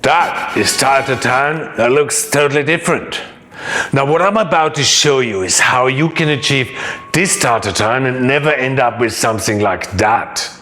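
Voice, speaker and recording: A man's voice: medium pitch, moderate pace, pushed and bright. A middle-aged man with a mid-range voice, teaching a cooking lesson with enthusiastic build-up. He keeps teasing secrets he will reveal. Faint music plays under his voice. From Austria. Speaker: chef and cooking instructor.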